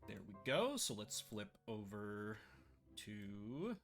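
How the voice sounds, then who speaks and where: A man hosting a casual live stream, chatty and playfully sarcastic, his voice a little rough and scratchy today. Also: fairly low pitch, big pitch swings, takes it slowly, a bit bright, faint music behind.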